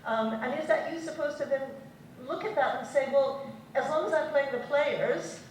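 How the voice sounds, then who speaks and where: Higher-voiced woman moderating in a hall. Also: normal speed. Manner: inquiring, takes questions from the floor. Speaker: panel moderator. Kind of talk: panel discussion. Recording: echoey hall from far off, faint noise.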